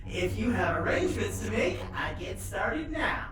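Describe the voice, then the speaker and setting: An actor voicing a mocking, menacing space station artificial intelligence with theatrical, deliberate delivery in an audio drama. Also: medium pitch, very animated. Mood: mock-cheerful menace. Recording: echoing, distant sound over music.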